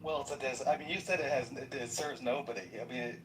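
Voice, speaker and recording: Mid-pitched guy sounding amazed, trips over words, noisy far mic. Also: fast talker. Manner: animated, astonished, stumbling restarts. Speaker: podcast panelist. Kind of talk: political discussion. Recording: distant mic, clear background noise.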